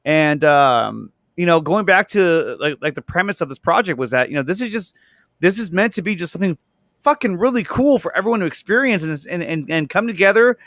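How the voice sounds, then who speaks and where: A man's voice: high voice, expressive, a little fast, a bit bright, no echo, studio quality. A man chatting casually and enthusiastically, gushing and often repeating words as he searches for the next one.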